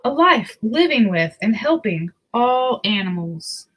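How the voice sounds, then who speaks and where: A woman's voice: fairly high pitch, big pitch swings, a little slow, a bit bright. A woman hosting a picture book read-aloud, telling the story expressively with casual asides.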